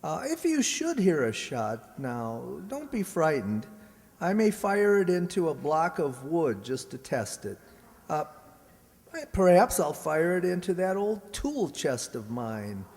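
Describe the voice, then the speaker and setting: An actor playing a scheming robot posing as a suave husband in a radio play, smooth and coolly menacing. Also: male voice, fairly high pitch, sing-song, takes it slowly, a little mellow, heavily acted. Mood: smooth, casual. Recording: echoey hall, stage radio play.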